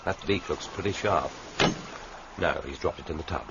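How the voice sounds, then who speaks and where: An actor playing a suave, wry Western secret agent with urbane, formal phrasing in a radio drama. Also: male voice, extremely low pitch, moderate pace, vocal fry. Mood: wry, courteous. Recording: dull, noisy radio drama recording.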